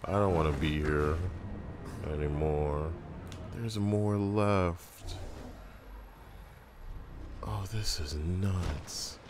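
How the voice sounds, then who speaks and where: A man's voice: deep voice, extremely slow, long pauses, noisy background. A man doing casual, profane gameplay commentary, muttering sardonic complaints over the game. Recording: game music behind the voice. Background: video game music.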